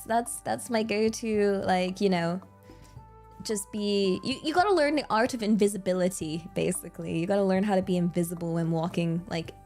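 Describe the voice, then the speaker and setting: A young British woman streamer from England, chatting casually and thoughtfully with many pauses and fillers. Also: medium pitch, a little mellow. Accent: British English. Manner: reflective, hesitant, many fillers and restarts. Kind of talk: casual chat with live chat readers.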